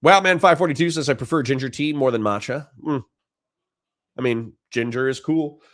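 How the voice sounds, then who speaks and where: A man's voice: mid-range voice, normal speed, a bit bright, no echo. A middle-aged man hosting a casual livestream, reading chat messages and thanking supporters in a dry, sincere way with frequent restarts. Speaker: livestream host.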